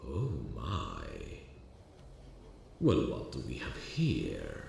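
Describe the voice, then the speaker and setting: Very deep, gravelly demon king. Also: wildly swooping pitch, a little mellow, slight background noise, full character voice. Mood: intrigued, flirtatious. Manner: grandiose, theatrical, slow and deliberate. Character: victorious proud demon king, boastful and charming warlord. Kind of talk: ASMR fantasy audio roleplay.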